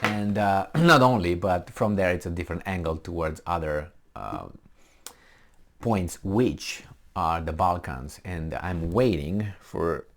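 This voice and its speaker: A man's voice: low voice, expressive, slow pace. A man with a Romanian accent, a native Romanian speaker, reading a news article aloud and commenting on it in a casual, rambling way, with lots of fillers and self-corrections.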